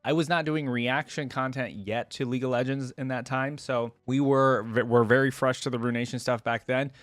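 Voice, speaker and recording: A man's voice: mid-range voice, fairly even intonation, normal speed, dry recording. A man giving casual, enthusiastic reaction commentary in fan slang. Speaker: reaction video host. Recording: loud music and game audio behind. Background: music from the videos being watched.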